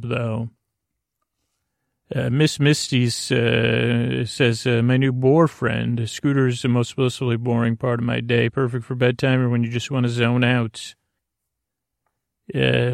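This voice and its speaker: A man with a soothing, gravelly voice hosting a bedtime podcast in a meandering, halting, self-deprecating mumble. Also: mid-range voice, dry recording, studio quality. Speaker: podcast host. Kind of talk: rambling bedtime sleep podcast monologue.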